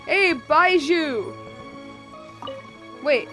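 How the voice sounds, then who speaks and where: A woman's voice: high-pitched, expressive, drawn-out words, sharp tone. A woman streaming a game with casual, chatty, slangy live commentary and playful asides. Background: game music.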